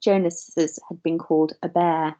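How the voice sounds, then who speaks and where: A woman reading a novel aloud as a teacher, in an expressive storybook narration style. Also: on the low side, measured pace.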